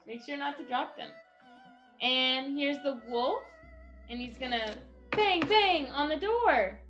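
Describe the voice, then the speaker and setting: A woman's voice: sits high, expressive, slow, long pauses, muffled recording, music in the background. A woman giving a step-by-step craft tutorial for children in an encouraging, instructional way. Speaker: craft lesson host.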